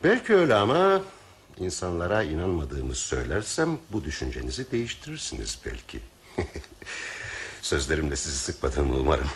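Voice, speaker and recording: A man's voice: wildly swooping pitch, normal speed, slight background noise, full character voice. A man acting in a Turkish radio play as a cynical, philosophizing train passenger, wry and formally spoken. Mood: musing, detached. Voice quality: deep, husky. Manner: theatrical, musing, ironic, formally worded.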